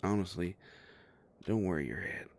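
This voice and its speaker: A man playing a sardonic, grumbling werewolf private investigator with a soft heart, in an audio roleplay drama. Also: moderate pace, natural voice. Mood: wry, reassuring. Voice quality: low gravelly voice.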